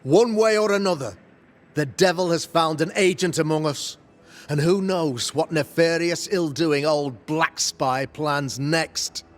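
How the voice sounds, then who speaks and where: A man's voice: a little high, measured pace, natural voice. An actor playing a self-righteous Puritan witch-hunting governor in a video game, speaking in a formal, preacherly, pompous way. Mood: grave, insistent. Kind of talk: dramatic video game acting.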